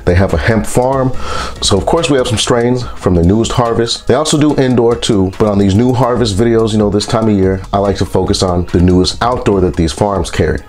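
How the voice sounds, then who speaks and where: A man with a congested, stuffy voice from a sinus infection, reviewing products in a relaxed, rambling vlog. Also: fairly low pitch, fairly quick. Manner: relaxed, rambling, with frequent fillers.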